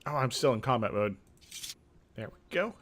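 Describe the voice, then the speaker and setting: A man's voice: medium pitch, lively intonation, normal speed, a bit bright, slight background noise. A man hosting a gaming video, switching between dramatic reading of game text and casual, rambling commentary. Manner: hesitant, rambling asides between theatrical narration.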